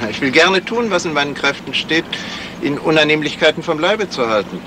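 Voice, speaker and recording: A man's voice: fairly high pitch, lively intonation, a little fast, natural voice. A man playing a courteous, wry amateur detective and writer in a 1970s radio crime drama. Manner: polite, suave, wry. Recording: noisy 1970s radio play recording.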